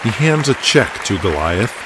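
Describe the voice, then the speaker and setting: A man's voice: lowish voice, big pitch swings, moderate pace, a crowd in the background, background noise. A man reading scripted, dramatic narration for an audio drama.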